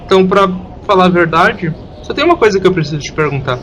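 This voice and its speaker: A man's voice: sits high, animated, fast, fairly bright tone, muffled recording, a little hiss or hum. A man with a Brazilian accent playing an earnest, inquisitive young orphan boy in an audio story. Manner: frank, inquisitive. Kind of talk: audio drama acting.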